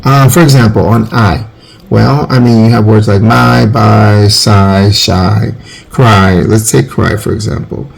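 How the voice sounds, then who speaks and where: A man's voice: on the low side, fairly even intonation, a little slow, creaky. A man in his forties, a teacher, giving an informal, rambling phonics lesson and often correcting himself. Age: 40s.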